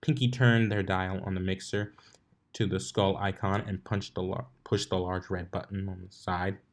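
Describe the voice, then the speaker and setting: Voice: sits low, darkish tone. A storyteller reading fan fiction aloud as scripted narration, with occasional stumbles over words.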